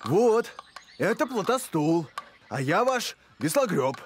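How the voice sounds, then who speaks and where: Актёр озвучивает хвастливого, легко возбудимого пса-блогера в мультфильме, говорит восклицаниями и с модными словечками. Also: male voice, high-pitched, sing-song, takes it slowly, slight background noise, heavily acted. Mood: showing off. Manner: exclamatory, slangy, showing off. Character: boastful excitable male dog, a wannabe blogger. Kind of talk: animated cartoon voice acting. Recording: music behind the voice.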